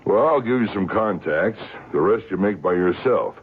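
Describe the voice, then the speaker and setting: Bossy cynical newspaper editor, rough husky voice, old radio show, tinny thin sound. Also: male voice, fairly low pitch, expressive, moderate pace. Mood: commanding. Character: brisk, cynical newspaper editor giving orders. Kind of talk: old-time radio drama acting. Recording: phone-like old radio recording.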